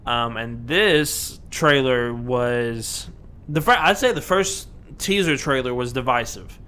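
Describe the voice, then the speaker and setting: A man's voice: medium pitch, slow pace, bright, forceful tone, clear, resonant tone. A man co-hosting a casual podcast, rambling with lots of fillers and restarts. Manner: rambling, many fillers and restarts, laughs often. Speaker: podcast co-host.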